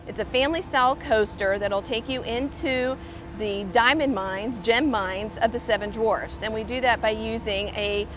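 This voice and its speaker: A woman's voice: on the high side, moderate pace, background noise. A woman on an outdoor construction site, explaining the work to the camera in an informative way, with frequent ums. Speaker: construction project spokesperson.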